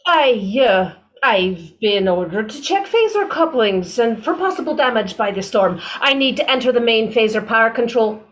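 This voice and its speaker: A woman's voice: fairly high pitch, lively intonation, moderate pace, few pauses, fairly bright tone. An actress playing a businesslike female starship engineer in an audio drama, reporting matter-of-factly. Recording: slightly dull, lo-fi sound.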